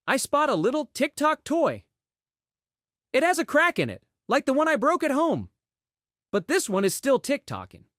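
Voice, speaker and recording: A woman reading a children's audiobook aloud in an expressive storytelling style, acting out exclamations and sound words. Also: high-pitched, no room sound, clean studio sound. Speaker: audiobook reader.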